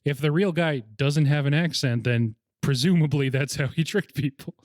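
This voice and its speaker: A young man hosting a casual movie podcast, chatty and quick to laugh, keen on film history. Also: a little high, a little mellow, dry recording, clean studio sound. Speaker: podcast host.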